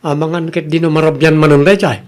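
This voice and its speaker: A man's voice: fairly high pitch, level tone, quick pace, darkish tone, natural voice. A man as a kind, generous Ilocano man. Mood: kind, concerned. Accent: Ilocano Filipino. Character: kind, generous older-brother figure, a man. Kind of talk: Ilocano radio comedy drama acting.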